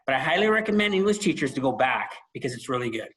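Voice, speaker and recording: Guy hosting a book podcast, mid pitch, chatty but emphatic, likes a dramatic pause. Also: big pitch swings, a bit bright, rough recording. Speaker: podcast host. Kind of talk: conversational book discussion.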